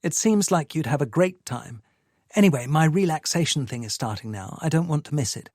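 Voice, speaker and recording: A man's voice: fairly high pitch, expressive, fairly quick, a little mellow, no room sound, studio quality, pitched above the performer's own voice. A man reading aloud, voicing a cool, evasive young woman patient who speaks in a dismissive, sarcastic way.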